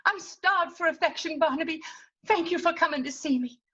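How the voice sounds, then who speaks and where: A woman's voice: very high voice, fairly quick, pushed and bright. A woman playing a ditzy, affectionate, lovelorn young showgirl in an improvised period radio soap, breezy and guileless, on dull audio.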